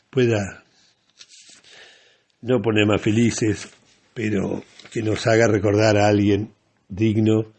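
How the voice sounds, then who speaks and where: Low, husky Argentine man, slow-paced. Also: darkish tone. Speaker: radio host. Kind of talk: opinionated radio news commentary.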